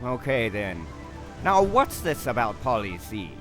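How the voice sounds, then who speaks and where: A man's voice: medium pitch, big pitch swings, a little slow, faint music behind. A man playing a blustering, easily alarmed pirate captain with theatrical pirate talk in a comedy skit. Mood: settled.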